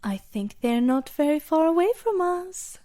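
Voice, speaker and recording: An actress playing a haughty, cruel weather mage in an audio drama, with a theatrical delivery. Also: female voice, high voice, expressive, measured pace, dark tone. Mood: sly, conspiratorial.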